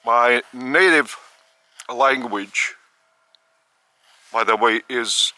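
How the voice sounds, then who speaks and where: A man from Lithuania, giving a spiritual talk outdoors in the park of an old estate, speaking slowly in short, halting phrases. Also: mid-range voice, sharp tone, no room sound. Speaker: spiritual teacher.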